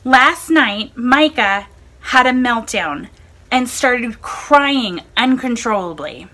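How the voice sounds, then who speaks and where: A woman narrates a first-person essay at an unhurried pace. Her voice sits high and bright, and her pitch rises and falls expressively as she reads. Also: animated. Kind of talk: measured reading of a first-person blog essay.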